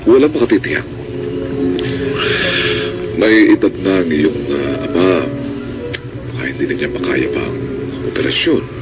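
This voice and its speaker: Solemn doctor, Filipino accent, low pitch, hesitant phrasing, radio drama, clear background music. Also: male voice, very animated, poor audio. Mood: grave, regretful. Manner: halting, solemn. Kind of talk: classic radio drama acting.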